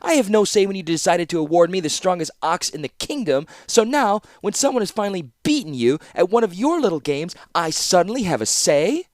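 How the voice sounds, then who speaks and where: A man's voice: on the high side, sing-song, normal speed, no room sound, voice pushed up. A man doing a defiant young princess. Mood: indignant. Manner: sharp, defiant. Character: indignant young princess, strong-willed.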